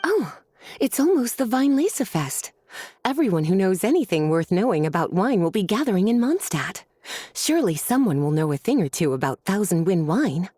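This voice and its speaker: A woman voicing a kind mature teacher who speaks softly and patiently. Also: mid-range voice, big pitch swings, normal speed, no room sound. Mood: caring. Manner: soft, patient, caring. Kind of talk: game voice acting.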